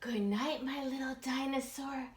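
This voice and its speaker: A woman's voice: sits high, fairly even intonation, unhurried, roomy sound, slight background noise, pitched above the performer's own voice. A woman voicing a loving, fussing mother dinosaur in a children's storybook, with exclaiming, sing-song scolding. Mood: tender. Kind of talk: children's storybook read-aloud.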